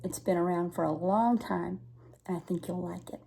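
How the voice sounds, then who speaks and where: A woman's voice: on the low side, fairly even intonation, moderate pace, vocal fry, dark tone, slight background noise. An older woman from the United States reading a storybook aloud to young children, expressively, with chatty asides.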